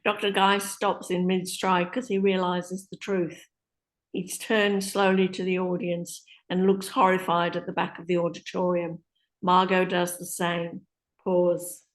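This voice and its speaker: A woman's voice: lowish voice, level tone, a little slow, low-quality recording. A woman reading stage directions as scripted narration for a play.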